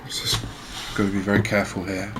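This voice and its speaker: A man giving relaxed ASMR commentary over a fishing video game, chatting to viewers and often checking in with tag questions. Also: on the low side, fast, background noise. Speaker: gaming video host.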